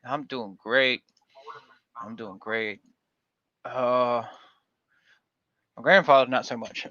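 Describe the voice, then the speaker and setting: A man from Georgia hosts a livestream panel in a rambling, folksy way, leaning on "uh" and "um" between thoughts. His voice sits at an ordinary middle pitch and comes through dull and lo-fi. The audio is bone dry, with no room sound around it. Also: slow, bright, forceful tone, no echo. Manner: rambling, folksy, with frequent fillers. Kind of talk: casual livestream chat.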